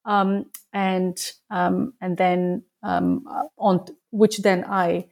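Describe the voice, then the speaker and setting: Female interview guest, middle-aged; soft-edged, creaky voice, halting academic delivery. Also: fairly low pitch, little pitch movement. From Turkey. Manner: halting, searching for words. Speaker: guest, human rights researcher and author. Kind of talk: interview answer.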